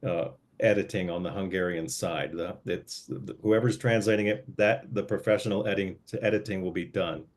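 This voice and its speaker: Deep-voiced man explaining book services. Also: a little fast, hardly any pauses. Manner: easygoing, explains step by step with fillers. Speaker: book editor and layout guide. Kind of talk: casual group video call.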